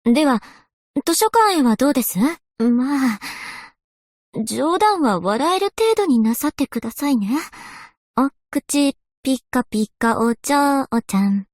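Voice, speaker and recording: An earnest young woman historian for a game. Her voice is high and lively in melody, recorded dry and close. She speaks with very formal, genteel courtesy. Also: a little slow, dry recording. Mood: playful singsong. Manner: very formal, courteous, refined phrasing. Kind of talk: scripted game character voice lines.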